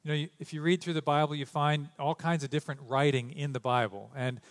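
A man's voice: fairly high pitch, fairly even intonation, fast talker. A man preaching a sermon, reading scripture and then talking loosely, with joking asides and stumbles, into a stage microphone in a church. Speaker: pastor. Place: church sanctuary.

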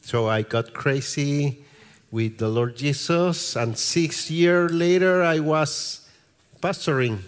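Slow, halting man with a Peruvian accent. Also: on the high side, clean tone. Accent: Peruvian Spanish. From Peru. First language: Spanish. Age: middle-aged. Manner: halting, searching for words. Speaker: guest pastor. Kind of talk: church interview.

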